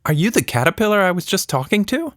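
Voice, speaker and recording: Young girl for a children's tale, male performer: high, earnest, inquisitive, kind. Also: sing-song, quick pace, fairly bright tone, no echo, studio quality. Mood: friendly, curious. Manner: earnest, questioning. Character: curious, kindly young girl, easily startled.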